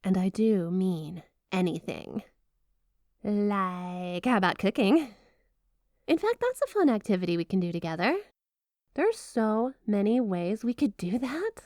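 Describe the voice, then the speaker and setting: A woman's voice: medium pitch, sing-song, measured pace, darkish tone, dry recording, clean studio sound. A woman playing a flirty, obsessive yandere snow spirit wife in an ASMR roleplay, gushing, coy and sweetly doting. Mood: apologetic, eager. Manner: gushing, coy, playfully suggestive. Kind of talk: ASMR roleplay addressed to the listener.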